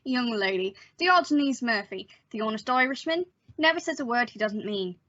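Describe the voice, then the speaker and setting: A woman playing a jovial, flattering Irish used car salesman in an old-time radio play. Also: fairly high pitch, normal speed, no room sound. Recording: dry, dull lo-fi audio.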